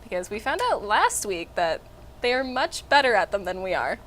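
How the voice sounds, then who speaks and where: A woman's voice: mid-range voice, big pitch swings, normal speed, a bit bright, slight background noise. A woman hosting a children's library story time, upbeat and asking simple questions. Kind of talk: friendly children's program talk.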